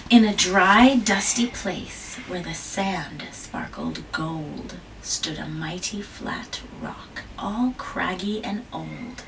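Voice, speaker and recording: Slow-reading mother at a distance. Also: gravelly. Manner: gentle, questioning the child, jumps to high squeaky pitch on small words. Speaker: mother reading aloud to her child. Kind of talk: children's picture-book read-aloud. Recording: distant mic with faint room noise.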